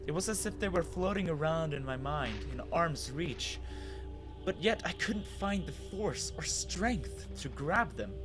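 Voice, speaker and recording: A man reading a scripted story aloud as a dramatic narrator. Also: fairly high pitch. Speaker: narrator and author of a fan fiction reading.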